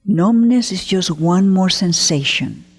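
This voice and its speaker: A woman leading a guided meditation as a teacher, gentle and unhurried, pausing often between short phrases in a breathy voice. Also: on the low side, expressive. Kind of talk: guided meditation, spoken slowly to listeners. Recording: long hall-like reverb.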